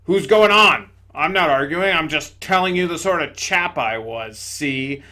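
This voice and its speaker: A big, loud, aggrieved ghost, as a narrator puts him on in an audiobook, blustering and self-righteous in rough working-class grammar. His voice sits fairly high and rings out bright and forceful. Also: animated, a little slow.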